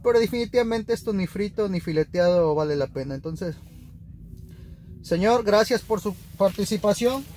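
A man's voice: high voice, moderate pace. A young Mexican man with a Mexican accent, chatting casually to his viewers while ice fishing on a frozen lake. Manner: chatty, addresses viewers directly, easily excited. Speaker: fishing vlogger. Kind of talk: casual outdoor vlog talk to viewers. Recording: noisy outdoor camera audio.

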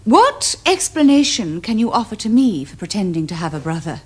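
A woman's voice: mid-range voice, very animated, brisk, a little mellow, breathy edge. An actress playing a self-assured young society lady with an upper-class English accent and precise, affected delivery. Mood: grand, earnest. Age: young adult. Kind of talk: period television comedy acting.

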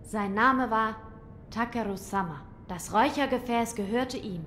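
A young lordly boy voiced by a woman speaks gravely and formally in an audio drama. He sounds earnest and resolute, with long reverb around him and faint rumble behind. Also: a little slow, fairly bright tone. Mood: solemn.

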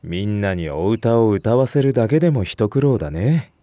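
A man voicing a calm, fatherly senior nursery teacher in a voice drama, patient and gently firm. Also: lowish voice, moderate pace, no room sound, lightly acted. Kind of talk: Japanese voice drama acting.

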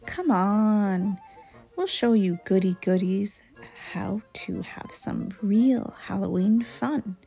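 A sly young bully bear voiced by a woman storyteller plots pranks in a children's storybook. He talks slowly and conspiratorially, with a taunting edge and some creak, over soft music. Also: mid-range voice, expressive. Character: mischievous bully boy bear, scheming gang leader.

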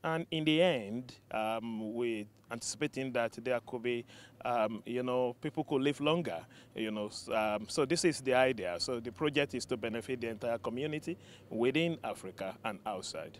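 A middle-aged man with a Nigerian accent, a researcher answering an outdoor interview into a handheld mic, measured and explanatory with many ums. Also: mid-range voice, a little hiss or hum. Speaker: researcher guest. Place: sunny outdoor campus.

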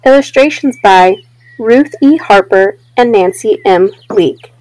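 A young woman, a teacher, reading a children's picture book aloud in a clear, expressive way. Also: medium pitch, unhurried, slight background noise, poor audio. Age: young adult. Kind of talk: children's storybook narration.

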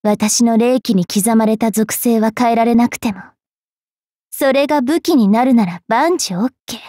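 A woman playing a cruel, wilful young female fairy knight in an anime game, with a haughty, taunting, rough-mouthed delivery. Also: on the high side, moderate pace, dark tone, no room sound, clean studio sound, lightly acted. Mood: cool, matter-of-fact. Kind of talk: anime mobile game voice lines.

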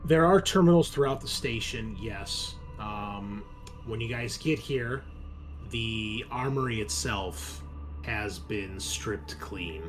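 A man narrating a tabletop roleplaying game as game master, improvising and explaining things in a halting way. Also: lowish voice, expressive, a little hiss or hum.

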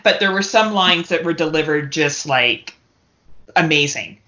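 A woman's voice: low-pitched, unhurried, bright, forceful tone. A woman chatting casually and candidly as a podcast guest, swearing freely. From Canada. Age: 30s or older. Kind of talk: casual chat. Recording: dull, lo-fi podcast audio.

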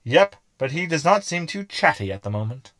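A man's voice: a little high, very animated, normal speed, a bit bright, no room sound, studio quality. A man playing a sarcastic, querulous hologram sidekick who is skeptical and easily unnerved, in a science fiction comedy audio drama.

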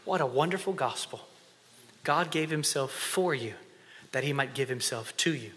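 Man in his thirties preaching from a church stage with a headset mic, bright voice, passionate, drops to whispers, hall reverb. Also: mid-range voice, animated, moderate pace. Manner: impassioned, shifts between loud emphasis and hushed whispered prayer. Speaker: conference speaker. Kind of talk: sermon. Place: church stage before an audience.

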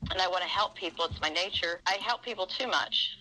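A woman's voice: fairly low pitch, fairly quick, sharp tone, soft background music. A woman confiding earnestly and piously on a casual recorded phone call, repeating herself as she goes. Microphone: telephone.

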